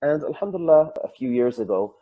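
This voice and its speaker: A man's voice: fairly high pitch, animated, fast, no echo. A man, patiently explaining grammar with examples as an Arabic instructor. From Canada. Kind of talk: lecture. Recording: dull, dry lo-fi.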